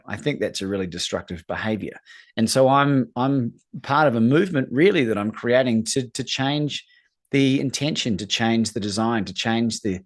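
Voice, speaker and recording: A man from New Zealand with a New Zealand accent, speaking as a coach in an interview with an emphatic, earnest motivational delivery. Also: mid-range voice. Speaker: guest, courage coach.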